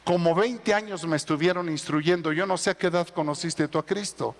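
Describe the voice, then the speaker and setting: A middle-aged male pastor preaching a sermon, building to loud emphatic peaks. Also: on the high side, fairly quick, long reverb tail. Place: church.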